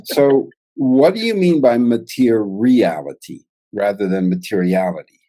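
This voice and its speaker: A man interviewing a guest over a remote call and asking relaxed, musing questions. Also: on the low side, measured pace, rough recording.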